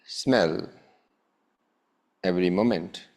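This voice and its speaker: Very slow, low-voiced male meditation guide. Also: a little mellow. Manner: measured, with long pauses between short phrases. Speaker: meditation teacher.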